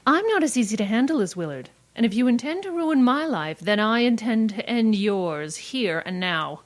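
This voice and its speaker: Woman playing a young spurned fiancée, high voice, swooping intonation, imperious, clipped, radio-drama style. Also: animated, normal speed, slight background noise, lightly acted. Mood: coldly threatening. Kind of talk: old-time radio acting before a live audience.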